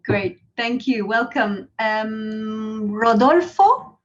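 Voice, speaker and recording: A woman moderating a video call, slowly calling on participants in turn. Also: on the high side, expressive. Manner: slow, calling on people in turn. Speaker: session moderator. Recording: dull lo-fi call audio.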